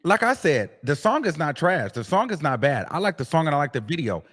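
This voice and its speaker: A man's voice: fairly high pitch, very animated, a little fast, a bit bright. A man hosting a live stream, giving emphatic opinions in casual chat. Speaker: host.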